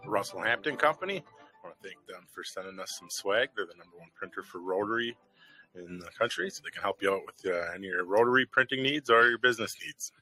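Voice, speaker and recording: A man's voice: on the low side, expressive, quick pace, bright, forceful tone, faint music behind, rough recording. A man co-hosting a studio podcast, laid-back, with brief interjections and a sponsor read. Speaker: podcast co-host. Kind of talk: casual chat and sponsor read.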